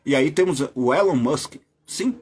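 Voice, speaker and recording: A Brazilian man hosting a podcast, delivering an opinionated monologue straight to his listeners and jumping into high mocking voices when he quotes people. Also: animated, measured pace, darkish tone, breathy edge. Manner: addresses listeners directly as "my dear". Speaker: podcast host.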